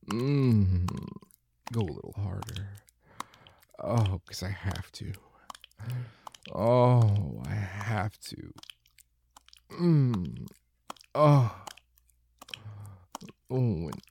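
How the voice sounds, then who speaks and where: Low gravelly guy, super slow and breathy, murmurs and whispers, lots of swoops in pitch, noisy clicky background. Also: warm tone. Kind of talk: intimate audio roleplay. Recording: clear background noise with clicking.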